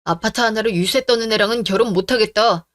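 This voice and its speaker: A woman's voice: a little high, quick pace, lightly acted. A woman voicing a pushy, sneering young fiancé. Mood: angry. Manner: demanding, sneering. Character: entitled young male fiancé, pushy and sulky.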